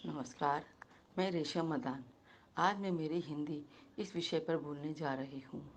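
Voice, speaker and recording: Low-voiced woman giving a slow speech. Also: slight background noise. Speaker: speaker at a Hindi day event. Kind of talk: recited speech.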